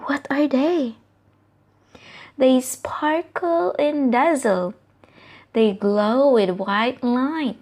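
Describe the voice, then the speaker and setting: A woman from the Philippines reading a picture book aloud to children in a deliberate, questioning storytelling manner, with asides to the listeners. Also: high voice, a little mellow.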